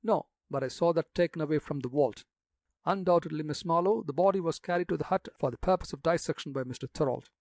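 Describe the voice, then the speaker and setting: A man's voice: fairly high pitch, quick pace, a little mellow, no room sound, studio quality, close to an everyday voice. A man voicing a pompous, theatrical fat male rogue who likes Latin phrases. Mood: grandiose. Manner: grandiose, theatrical.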